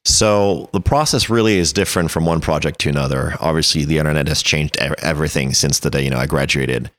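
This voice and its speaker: A man's voice: extremely low pitch, quick pace, a bit bright, no echo. A man, chatting as an interview guest in a wordy, self-deprecating, anecdotal way. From France. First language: French. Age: 30s. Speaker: interview guest, creative director. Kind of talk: casual conversational interview.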